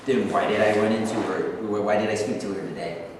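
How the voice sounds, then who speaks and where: A man's voice: on the low side, steady tone, a little fast, natural voice. A man playing a hurt, confused young son in a staged play reading. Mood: hurt, accusing. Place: live staged reading in a hall. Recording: echoey hall, distant mic, faint noise.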